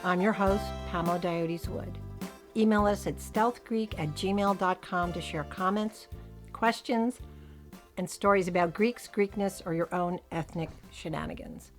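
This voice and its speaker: A woman's voice: on the low side, measured pace, faint music behind. A woman hosting a casual podcast, talking with a lisp after dental surgery. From United States. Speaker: podcast host.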